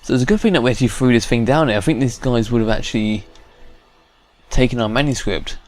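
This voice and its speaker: A man's voice: mid-range voice, fast talker, darkish tone. A man giving casual, chatty gameplay commentary as a let's play host. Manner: chatty, hums to self. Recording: faint game music behind.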